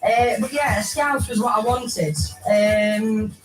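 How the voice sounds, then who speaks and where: A woman's voice: fairly high pitch, takes it slowly, echoey room, recorded from a distance, faint music behind, low-quality recording. A woman presenting a radio show in a chatty, playful way, mimicking the way people greet each other.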